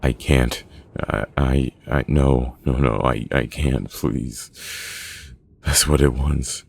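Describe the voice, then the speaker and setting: A man's voice: very deep voice, fairly even intonation, takes it slowly, creaky, slightly rough, exaggerated voice. A man playing a frantic, broken trapped man in a horror audio log, crying and stammering as he pleads. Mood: sobbing, pleading. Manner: stammering, pleading, repeating words. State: crying, panicking.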